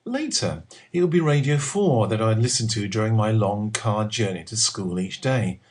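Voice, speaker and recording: A middle-aged man with an English accent reads his own column in a husky voice. His pitch sits on the low side but moves in lively swings. The delivery is scripted and deliberate, phrase by phrase. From England. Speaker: writer reading own column. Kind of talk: scripted personal essay narration.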